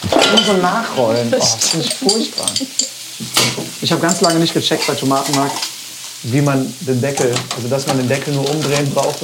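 A woman hosting a casual cooking chat, slightly hoarse today, chatty and self-mocking. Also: sits low. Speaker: podcast host. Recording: noisy kitchen with frying.

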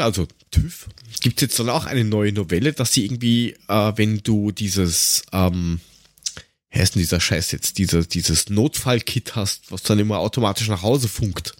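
A man's voice: lowish voice, dry recording, clean studio sound. An Austrian man chatting casually as a podcast co-host, rambling and grumbling in a sarcastic, coarse-mouthed way.

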